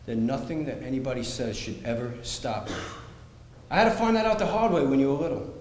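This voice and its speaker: A man's voice: mid-range voice, fairly quick, darkish tone, lightly acted. A man on stage playing an earnest, loving parent in a play. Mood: tender, earnest. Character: earnest, loving parent advising grown daughter. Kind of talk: live stage play dialogue. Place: theatre stage, recorded from a distance. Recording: echoey hall, faint noise.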